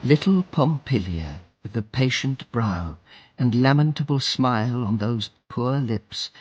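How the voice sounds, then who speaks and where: A man playing a grave, mournful onlooker who recites verse in a radio drama, with a rough, husky voice. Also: medium pitch, lively intonation, measured pace, muffled recording. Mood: mournful, tender.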